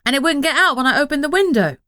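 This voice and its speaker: A woman's voice: mid-range voice, lively intonation, fast talker, a bit bright, no room sound, clean studio sound, pitched above the performer's own voice, close to an everyday voice. A woman voicing a small male frog familiar who pipes up nervously and defensively. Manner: piping, defensive.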